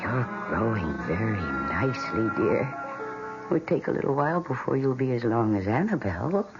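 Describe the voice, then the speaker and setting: A woman's voice: extremely low pitch, big pitch swings, normal speed, over loud music. An actress playing a tender, hesitant newlywed wife devoted to her plants, in old radio drama style. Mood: doting. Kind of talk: 1970s radio drama acting. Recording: muffled, noisy old radio broadcast.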